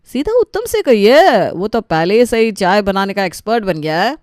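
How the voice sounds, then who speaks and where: A man playing a smug older brother in a family comedy story, with sarcastic, drawn-out teasing. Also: high-pitched, big pitch swings, clean tone, dry recording, clean studio sound. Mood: mocking.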